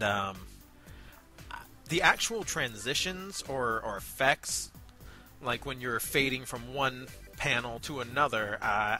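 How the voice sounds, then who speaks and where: Bright-voiced man co-hosting a review. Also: medium pitch. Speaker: review show co-host. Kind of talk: conversational review commentary. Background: background music.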